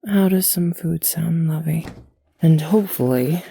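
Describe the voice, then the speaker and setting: Slow, mellow actress as motherly alien matriarch. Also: low voice, gravelly, slight background noise. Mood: measured, soothing. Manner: measured, soothing, formal. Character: calm, motherly alien matriarch, gently stern and tender. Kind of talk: soft audio roleplay acting.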